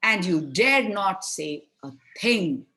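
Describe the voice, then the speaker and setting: A woman's voice: medium pitch, lively intonation, takes it slowly, bright, forceful tone, exaggerated voice. A woman storyteller voicing an angry, threatening, selfish fairy-tale mother who scolds emphatically. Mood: harsh, commanding.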